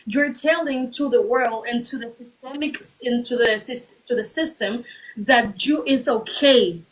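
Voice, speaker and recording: Woman arguing steadily, slightly high voice. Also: rough recording. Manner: steady, deliberate, builds points by repetition. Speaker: livestream guest. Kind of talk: earnest argument on a livestream.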